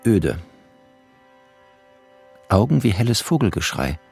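A wry, bookish refugee telling his own story in a literary radio play. His voice is fairly low and creaky, and he takes his poetic, clipped phrases very slowly. Soft music sits behind him. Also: sing-song, heavily acted. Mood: wry, observant. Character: bookish male war refugee, wry, smitten first-person narrator. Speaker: narrator and lead in a radio play. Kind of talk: literary first-person radio play narration.

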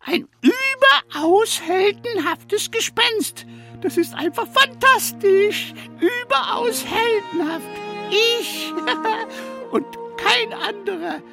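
An actor voicing a cheeky, boastful, excitable little ghost in a children's radio play, drawling with taunting glee. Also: male voice, very high voice, slow, vocal fry, soft background music, heavily acted. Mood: proud delight.

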